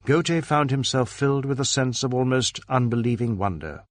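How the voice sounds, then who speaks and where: A man's voice: mid-range voice, normal speed, dry recording, clean studio sound. A man reading a literary crime story as a scripted narration. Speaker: audiobook narrator.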